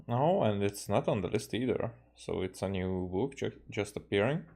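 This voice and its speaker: A man's voice: on the low side, expressive, moderate pace, darkish tone, a little hiss or hum. A man from Hungary casually reacting to a game as he streams, with dry, offhand remarks.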